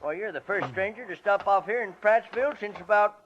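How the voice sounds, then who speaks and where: An actor playing a kindly small-town husband with a rural American accent and a fussy, neighborly manner. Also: male voice, high-pitched, fairly quick, a bit bright. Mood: friendly, hesitant. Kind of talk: 1940s radio drama acting. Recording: dull old radio recording.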